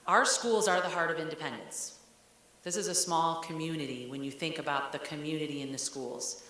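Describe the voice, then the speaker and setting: A low-voiced woman speaks frankly to a community gathering as a school district leader, loose and conversational with small fillers. Her voice booms around a very echoey hall. A faint hiss sits under it. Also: brisk, a bit bright. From Ohio, United States. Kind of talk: public meeting address. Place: large meeting room.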